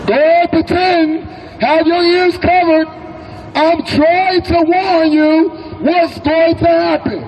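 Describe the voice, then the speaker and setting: A man's voice: extremely high pitch, steady tone, takes it slowly, darkish tone, rough recording. An American man giving an urgent, admonishing speech as a street protester outdoors. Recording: traffic noise behind.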